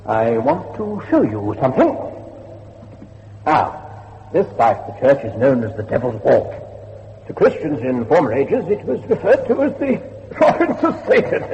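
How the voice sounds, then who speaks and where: Animated learned man in reverberant church. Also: medium pitch, moderate pace. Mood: intrigued. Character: inquisitive, learned male guide to a haunted church. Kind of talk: horror radio drama acting. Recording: reverberant church effect, dull, faint noise.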